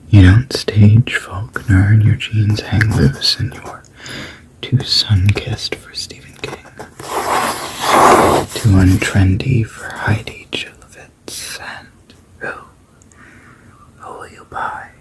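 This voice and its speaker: A person reading fiction aloud entirely in a soft, unvoiced whisper, at a steady, low-key pace. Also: slow pace, long pauses, rough recording.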